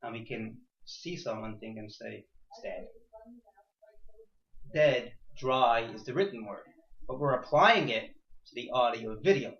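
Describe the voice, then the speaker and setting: Male teacher, very animated delivery, rhetorical questions, restated phrases, dull roomy recording. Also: mid-range voice, unhurried. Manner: rhetorical questions, repeats and rephrases himself. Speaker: teacher leading a class. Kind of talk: interactive lecture to a small class. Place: indoor room with a few students. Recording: echoey room, dull muffled sound.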